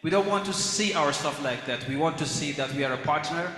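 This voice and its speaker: A man speaking earnestly at a formal youth panel, pausing often with fillers. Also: fairly high pitch, bright, forceful tone. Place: large conference hall. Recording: echoey conference hall.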